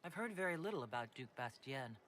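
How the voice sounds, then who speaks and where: An actor playing a calm, diplomatic leader in a fantasy video game, speaking in a direct, guarded way. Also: male voice, mid-range voice, quick pace. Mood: composed, questioning. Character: composed, diplomatic male leader of an inquisition. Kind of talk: video game dialogue acting.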